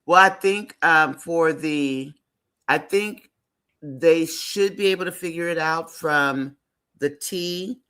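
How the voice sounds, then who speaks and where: Bright-voiced man hosting a live chat. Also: on the high side, slow, smooth. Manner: chatty and rambling, with frequent fillers. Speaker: live stream host.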